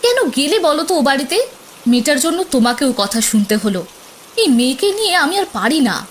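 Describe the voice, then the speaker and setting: A woman voicing a quick-tempered village wife and mother in a cartoon, scolding and complaining. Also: high voice, very animated, a little hiss or hum. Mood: exasperated, scolding.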